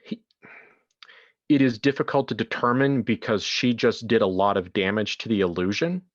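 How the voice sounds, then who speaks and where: A man narrating a horror tabletop game as game master, theatrical and deliberate with long pauses, in dull lo-fi sound. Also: on the low side.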